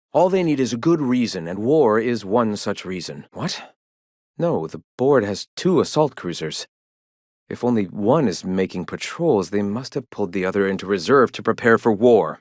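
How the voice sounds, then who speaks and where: An actor playing a zealous leader of a philosophical rebel movement in a video game, speaking in grandiose, preachy and solemn oratory. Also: male voice, mid-range voice, dry recording, studio quality, close to an everyday voice. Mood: fervent, alarmed, then uneasy, reflective. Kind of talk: video game dialogue acting.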